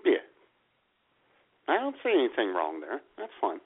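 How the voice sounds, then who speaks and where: A man's voice: mid-range voice, huge pitch swings, normal speed, slightly rough. A man co-hosting a comedy radio show with sardonic, casual banter. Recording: tinny, narrow phone-like sound.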